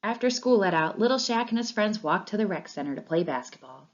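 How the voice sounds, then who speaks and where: A woman reading a children's storybook aloud in an expressive narrating style, on a dull lo-fi recording. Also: medium pitch, fairly quick. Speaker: storybook reader.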